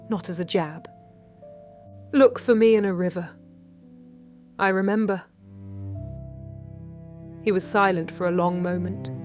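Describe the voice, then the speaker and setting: An actress playing a wry, brave 1930s London lady detective, narrating in a measured, literary British voice. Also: lowish voice. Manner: measured, literary, dryly understated. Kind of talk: scripted first-person audio drama narration.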